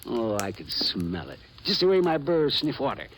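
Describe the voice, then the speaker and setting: An actor playing an excitable, mistrustful old gold prospector in a radio western, with a folksy drawl and a habit of repeating himself. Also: male voice, mid-range voice, normal speed. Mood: excited, proud. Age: older. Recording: noisy vintage radio recording with clicks.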